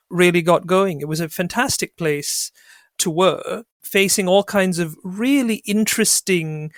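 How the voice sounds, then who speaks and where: A middle-aged man from Sri Lanka, a barrister guest telling his career story in a measured, wry way. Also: fairly high pitch, fairly bright tone, no echo, clean studio sound. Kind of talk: podcast interview, reflective storytelling.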